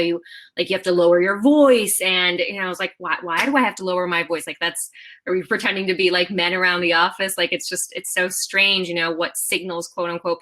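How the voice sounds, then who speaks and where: A woman in her thirties, founder of a company, answering interview questions in an animated way, with many fillers and restarts. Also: fairly low pitch. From half Japanese. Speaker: founder and CEO of a clothing brand.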